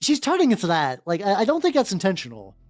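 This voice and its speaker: A woman's voice: medium pitch, huge pitch swings, fast, a little mellow, no room sound, studio quality. A woman hosting a live stream, chatting casually and reading fanfiction aloud with sweary, slangy, wry asides. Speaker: streamer, vtuber host. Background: background music.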